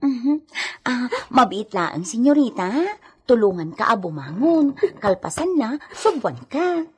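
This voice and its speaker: An actress plays a caring, chatty female helper in a radio drama. Her voice is high and slightly husky, and she takes her time as she coaxes and fusses in an eager way. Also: big pitch swings, measured pace, heavily acted. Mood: caring, coaxing. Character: Edita, caring chatty female helper tending a sick lady. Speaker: voice actor. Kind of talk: radio drama acting in Ilocano.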